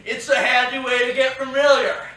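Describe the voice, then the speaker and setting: A man playing an earnest, wry young American soldier in a theatrical reading, deliberate and expressive. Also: very high-pitched. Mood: playful. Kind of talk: theatrical reading of letters and verse. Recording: roomy, distant theatre recording, faint noise.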